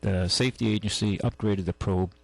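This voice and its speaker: A man hosting a call-in radio show, talking casually and haltingly, rambling as he goes and reading out items. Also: lowish voice, steady tone, vocal fry, no room sound. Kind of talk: casual talk radio, reading news and chatting. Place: radio studio. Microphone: close studio microphone.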